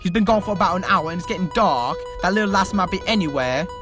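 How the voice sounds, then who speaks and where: A reader voicing an anxious young male pop singer who rushes and stumbles through his words, with music behind. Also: medium pitch, animated, lightly acted. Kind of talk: reading fan fiction aloud.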